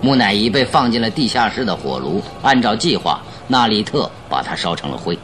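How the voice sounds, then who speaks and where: A man's voice: on the low side, big pitch swings, fairly quick, fairly bright tone. An actor playing a confident male detective in a radio drama, courteous and masterful as he lays out his deductions. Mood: confident, expository. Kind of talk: Chinese radio drama acting. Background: background music.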